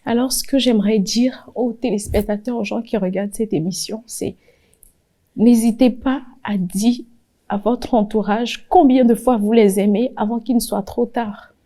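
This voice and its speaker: A woman pageant candidate speaking emotionally and haltingly to the viewers. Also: fairly high pitch, fairly even intonation. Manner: halting, emotional, soft-spoken.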